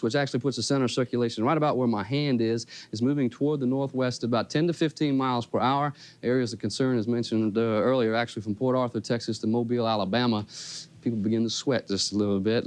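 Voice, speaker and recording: A man's voice: mid-range voice, darkish tone, no room sound. A man giving a chatty, informal live TV weather forecast, ad-libbing between the figures. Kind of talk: live ad-libbed local weathercast with banter.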